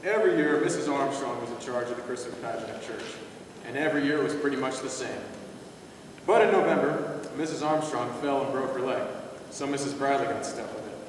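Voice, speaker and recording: A man's voice: mid-range voice, fairly quick, natural voice. A man playing a brash troublemaker boy and narrating in a readers theater skit. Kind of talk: readers theater stage acting. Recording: noisy echoey hall, far from mic.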